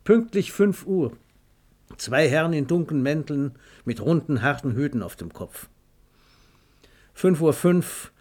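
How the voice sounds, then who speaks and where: Male radio storyteller, voice slightly on the high side, theatrical and emphatic scripted narration. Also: expressive, measured pace, long pauses, darkish tone.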